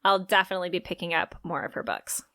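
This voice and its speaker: A woman's voice: on the low side, fast talker, a bit bright. A woman co-hosting a podcast, chatting casually and gushing with enthusiasm about books. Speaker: podcast co-host. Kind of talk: casual book chat.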